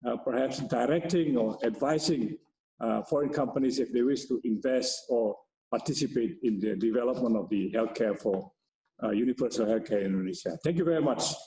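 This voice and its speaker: A man with a mellow, mid-pitched voice gives formal keynote remarks off the cuff. He hesitates heavily between phrases, and the recording is roomy and echoing. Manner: very halting, hesitant. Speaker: keynote speaker. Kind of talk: formal closing remarks, unscripted.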